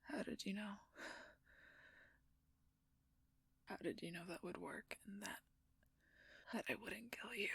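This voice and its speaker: A woman playing a wounded, protective but gruff female werewolf knight in an intimate audio roleplay, husky and halting, often dropping into a whisper. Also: medium pitch, fairly bright tone, no echo, full character voice. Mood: weary, haunted. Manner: halting, strained delivery with sighs.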